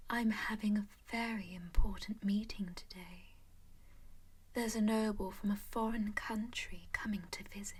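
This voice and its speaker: A composed vampire noblewoman, voiced by a woman, who speaks only in a slow, airy whisper. She coaxes with pet names like darling and dear, gentle but quietly possessive. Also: medium pitch, husky. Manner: soft whisper throughout. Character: composed, doting female vampire noble who owns a servant. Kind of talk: whispered ASMR roleplay.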